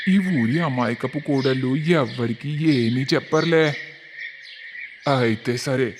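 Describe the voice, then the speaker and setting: A man's voice: medium pitch, noisy background, natural voice. A man voicing a sly young goon in a cartoon story who blackmails people in a smug, sneering way.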